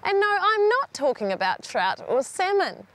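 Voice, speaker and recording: High-voiced New Zealand woman presenter. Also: very animated, normal speed, fairly bright tone, dry recording. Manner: upbeat, chatty, plain-spoken. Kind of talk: nature TV presenting. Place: outdoors by an urban stream.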